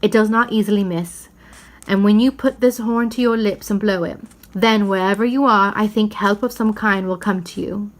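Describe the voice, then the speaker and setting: A woman's voice: mid-range voice, normal speed, close to an everyday voice. Lady reading as a kindly old father christmas type, grave and declamatory, storybook style. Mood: solemn.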